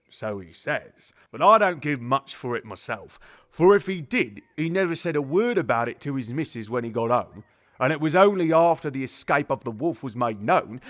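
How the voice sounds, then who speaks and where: A man's voice: medium pitch, a bit bright, lightly acted. A man playing a chatty, sly, working-class zookeeper in middle age, rambling folksily in an audio drama. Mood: sceptical. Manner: folksy, colloquial, rambling storyteller. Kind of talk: audio drama dialogue, character storytelling.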